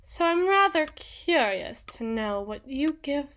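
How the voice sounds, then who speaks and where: Casting: woman to play teasing, cruel demoness for ASMR roleplay; higher-set voice, unhurried theatrical delivery. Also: very animated, a bit bright, heavily acted. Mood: smug, menacing. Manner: theatrical, taunting, deliberate phrasing. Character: sadistic, teasing female demon bargaining for souls.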